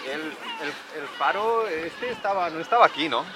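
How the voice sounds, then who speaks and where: A man's voice: fairly high pitch, animated, moderate pace, sharp tone, rough recording. A man from Spain casually showing someone around, explaining with plenty of "eh" and "bueno". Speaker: local guide. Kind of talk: casual explaining while walking. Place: outdoors at a harbor. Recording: outdoor noise around the voice.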